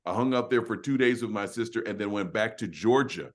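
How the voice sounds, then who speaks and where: A man's voice: fairly low pitch, fairly quick. A man, a singer, chatting casually and telling a story with easy laughs. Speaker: a cappella singer and host. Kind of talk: casual chat.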